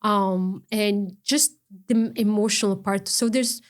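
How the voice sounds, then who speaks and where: A middle-aged woman guest on an interview, speaking earnestly in a halting way with frequent restarts and broken-off words. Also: mid-range voice, steady tone. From Ontario, Canada. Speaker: guest, non-profit founder. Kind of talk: conversational interview.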